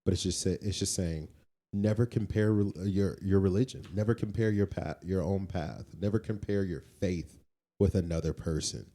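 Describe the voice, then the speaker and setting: A man's voice: low-pitched, normal speed, mellow. A man co-hosting a podcast in casual, slangy talk, repeating phrases for emphasis. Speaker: podcast co-host. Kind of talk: casual conversational discussion.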